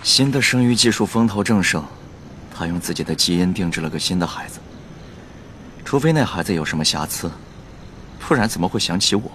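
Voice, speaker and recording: A man acting in a radio drama as a composed, considerate young gentleman from the upper city, speaking in a measured, concerned way. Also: sits low, animated, background noise. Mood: bitter. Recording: prominent music behind the voice.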